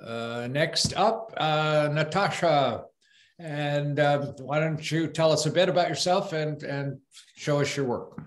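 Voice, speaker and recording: A man moderating a video call event, brisk and moving speakers along. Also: on the high side, roomy sound. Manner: brisk, keeps things moving.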